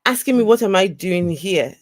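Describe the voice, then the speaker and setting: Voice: medium pitch, lively intonation, normal speed, no room sound. Chatty, teasing co-host on a livestream, dry close sound. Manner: teasing, talkative, directs viewers to links. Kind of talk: casual livestream chat.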